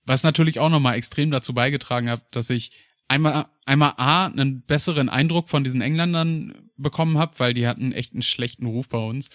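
Chatty German podcast host, dry sound. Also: male voice, medium pitch, fairly quick, a bit bright, no room sound. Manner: rambling, chatty storytelling with fillers and laughs. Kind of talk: casual chat.

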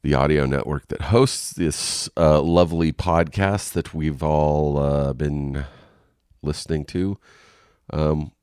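Congested male podcast host, nasal and gravelly, very low, unhurried rambling delivery. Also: steady tone, a little mellow, no room sound, studio quality. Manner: rambling, frank, with frequent ums and restarts. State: congested and coughing. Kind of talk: casual solo podcast monologue. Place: home.

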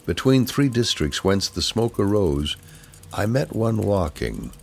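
A man reciting poetry solemnly and deliberately, with weighty pauses and a gravelly voice. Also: on the low side, big pitch swings, slight background noise. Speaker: poetry reader.

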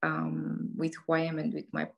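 A woman's voice: low voice, monotone, normal speed, vocal fry, dull audio. A woman in her thirties with a Romanian accent, a podcast guest talking casually with lots of laughs, fillers and restarts. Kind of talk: casual interview talk.

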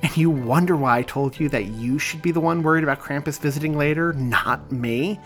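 A man's voice: mid-range voice, wildly swooping pitch, a little fast, vocal fry, a bit bright, faint music behind, exaggerated voice. A man voicing a meek but defiant young boy who is a drummer, speaking in heated retorts. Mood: angry, defiant. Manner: rising, heated confrontation.

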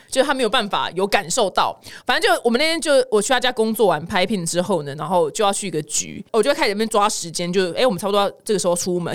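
A young woman with a Taiwanese Mandarin accent chats casually as a podcast host, telling stories in an animated, exclaiming way and acting out dialogue. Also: medium pitch. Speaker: podcast host of a weekly news show. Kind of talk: casual chatty storytelling.

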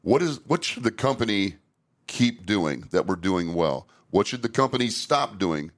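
Low-voiced middle-aged man, candid and rambling. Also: big pitch swings, moderate pace. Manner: candid, rambling, frequent restarts. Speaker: safety trainer and podcast host. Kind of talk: casual podcast conversation.

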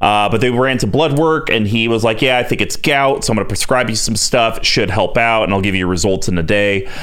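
A young man hosting a podcast, talking in a relaxed, rambling way full of filler words. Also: fairly low pitch, a little fast, clear, resonant tone. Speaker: podcast host. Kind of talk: casual podcast chat.

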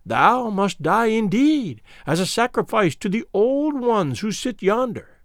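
Piping, drawn-out, mocking old crone. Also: male voice, extremely high pitch, huge pitch swings, a bit bright, no echo, clean studio sound, voice pushed up, full character voice. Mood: mocking comfort.

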